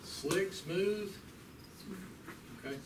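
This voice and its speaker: A middle-aged man, an extension agent, teaching a hands-on class in a relaxed, folksy way and asking the group questions. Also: high-pitched, very slow, gravelly, noisy background. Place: small classroom with hard walls. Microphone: far camera. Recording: echoey room from a distant camera.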